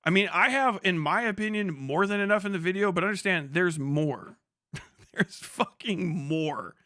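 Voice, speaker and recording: A man's voice: high-pitched, moderate pace, pushed and bright, dry recording, clean studio sound. A man hosting a livestream with casual, profane commentary, sarcastic and incredulous, often repeating phrases for emphasis. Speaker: livestream host. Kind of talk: casual commentary to a live audience.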